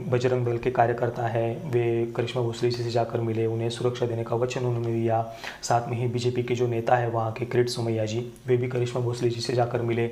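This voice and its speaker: A man's voice: mid-range voice, a little mellow. A man giving earnest opinion commentary to viewers, emphatically repeating his key points. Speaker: video commentator. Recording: roomy, echoing indoor sound.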